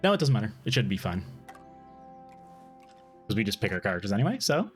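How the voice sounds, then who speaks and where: A man's voice: on the low side, big pitch swings, fast, faint music behind. A man streaming a game live, chatting casually, swearing freely and laughing often.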